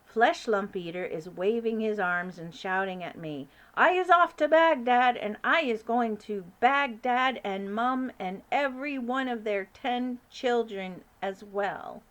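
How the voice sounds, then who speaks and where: Woman reading a kindly giant, folksy broken grammar, unhurried. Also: sits high, fairly bright tone. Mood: eager. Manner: folksy, ungrammatical speech. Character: big kindly male giant, muddled grammar.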